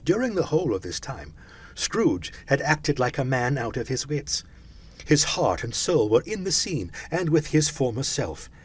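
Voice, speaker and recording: A man reading a classic story aloud with animated, expressive narration, stretching words for emphasis. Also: medium pitch, unhurried, slightly rough. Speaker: audiobook reader.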